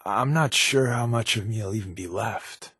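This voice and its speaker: A man's voice: lowish voice, normal speed, a bit bright, husky, dry recording, studio quality. A man playing an easygoing, wisecracking secret agent with hidden guilt in a video game, talking in a wry, casual, conversational way. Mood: wry, earnest. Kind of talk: video game companion dialogue.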